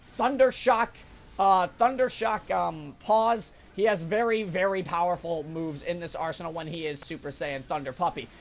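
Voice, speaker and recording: Hoarse young man narrating gameplay. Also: high voice, muffled recording. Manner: casual, upbeat explanatory, frequent "uh" fillers. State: hoarse, sore throat. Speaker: gaming channel host. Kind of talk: let's play commentary. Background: game music.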